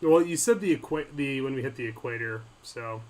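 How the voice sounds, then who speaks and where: A man with an ordinary mid-range voice hosts a relaxed podcast conversation. He talks haltingly, stopping and restarting with plenty of ums. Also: mid-range voice. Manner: hesitant, halting, lots of ums. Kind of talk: casual chat over a call.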